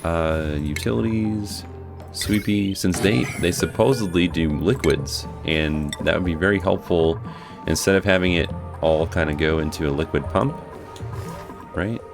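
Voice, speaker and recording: A hoarse man casually talking through his gameplay as a guide host, thinking aloud as he plays. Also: sits low, a little slow. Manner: thinking aloud, relaxed, restarts. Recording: music playing behind.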